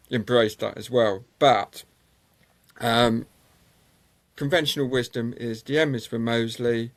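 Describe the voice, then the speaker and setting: A man recounts racing history in a loose, meandering way, filling his pauses with ums and uhs. His voice sits at an ordinary middle pitch for a man. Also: gravelly. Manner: rambling, many ums and uhs, anecdotal. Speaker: motorsport historian guest. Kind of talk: conversational interview storytelling.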